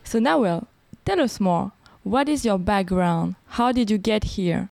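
A woman hosting a podcast, reading a scripted introduction smoothly and then asking her guest questions. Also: medium pitch, lively intonation, a little slow, darkish tone, no room sound, studio quality. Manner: smooth, read-aloud delivery. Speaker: podcast host.

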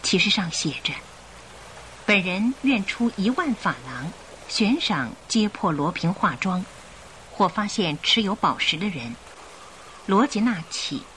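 A woman narrating a Chinese radio drama in a scripted, expressive storytelling style. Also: medium pitch, a little slow, slight background noise, poor audio. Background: music.

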